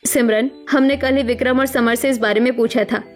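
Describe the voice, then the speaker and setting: A woman's voice: a little high, fairly even intonation, fairly quick, faint music behind, natural voice. A woman voicing a protective, outspoken young sister-in-law in a melodramatic cartoon story, speaking emphatically. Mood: encouraging. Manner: emphatic, persuasive.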